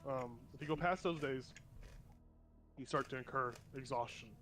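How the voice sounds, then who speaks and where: A man's voice: medium pitch, normal speed, sharp tone. A young man running a tabletop game as game master, sniffling from a cold and explaining rules step by step with plenty of fillers.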